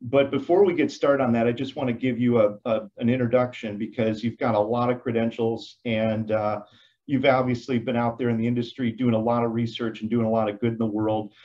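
A man's voice: mid-range voice, fairly even intonation, a little fast, a little mellow, dull audio. Upbeat male host on a video call. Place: home room with bookshelf.

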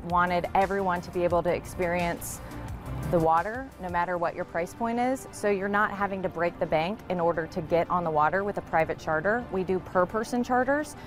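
Young female business owner, casual interview delivery in a lower register, with clear music underneath. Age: young adult. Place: outdoors at a marina dock.

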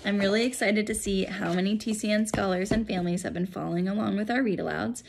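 American lady in her 30s reading a kids book out loud, creaky voice, medium pitch. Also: fairly even intonation, fast, background noise. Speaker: school principal reading aloud. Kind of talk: storybook read-aloud narration. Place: small furnished room indoors. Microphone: phone or laptop at arm's length.

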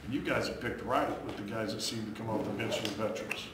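A man asks a long, chatty question from the reporters' seats. He is heard from far off, his voice washed in strong echo. Clear room noise runs under him. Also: fairly low pitch, steady tone, fast talker. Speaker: reporter, former player. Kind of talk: long press-conference question. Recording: distant, very echoey room mic with noise.

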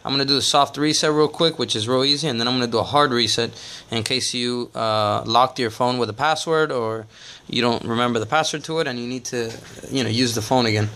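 A man's voice: mid-range voice, brisk, sharp tone, a little hiss or hum. A man hosting a casual tech tutorial, talking plainly step by step with frequent "uh" and "all right" fillers. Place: indoors at a table, off camera.

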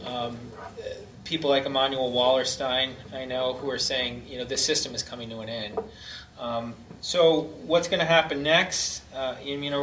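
Bright-voiced man with hesitant fillers. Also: medium pitch. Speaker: forum chair introducing the speaker. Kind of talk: informal talk introduction. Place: community hall, indoors. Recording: echoey hall, far from the camera mic, faint noise.

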